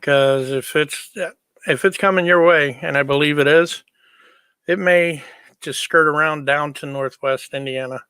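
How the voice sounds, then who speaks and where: A man's voice: on the high side, steady tone, measured pace, sharp tone, clear, resonant tone, dry recording. A man chatting casually on a livestream, easygoing and informal as he replies to viewers. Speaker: livestream host.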